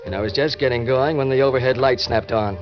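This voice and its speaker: A man's voice: medium pitch, quick pace, natural voice. An actor playing a wisecracking, cynical ex-convict drifter, with an American accent and a wry, slangy tough-guy delivery in 1940s radio style. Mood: cool, sardonic. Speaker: narrator and lead. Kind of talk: 1940s hardboiled radio drama acting. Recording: muffled old-time radio recording with music.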